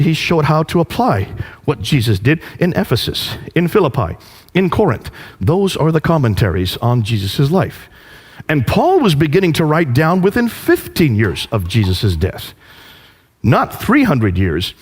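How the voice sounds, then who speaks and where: A man preaching with a lively, swooping pitch and emphatic rhetorical questions. His voice is a little husky, and it rings out with a long hall reverb. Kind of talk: preaching lecture.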